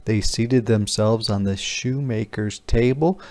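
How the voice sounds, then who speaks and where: A man reading a picture book aloud as a teacher would to young children, expressive, explaining and questioning. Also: on the low side, slow.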